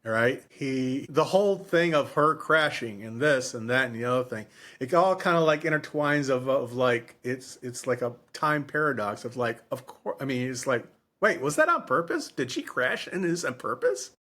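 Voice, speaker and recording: A man's voice: mid-range voice, expressive, moderate pace, few pauses, bright, forceful tone. A man co-hosting a podcast in a casual book discussion, rambling and often repeating himself. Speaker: podcast co-host.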